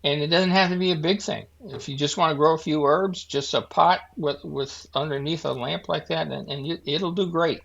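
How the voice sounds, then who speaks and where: Gravelly man talking gardening in a folksy way. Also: on the high side, steady tone, moderate pace, few pauses, fairly bright tone, muffled recording. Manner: folksy, explains with many restarts. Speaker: podcast guest. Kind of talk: casual chat.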